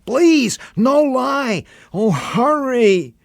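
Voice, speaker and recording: Storybook beach boy, played by a man: high, loud, urgent, wide-eyed and insistent. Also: very animated, drawn-out words, gravelly, dry recording, clean studio sound, heavily acted. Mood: pleading. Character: excited breathless young beach boy, urgent and awed. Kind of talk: dramatic audiobook character voice.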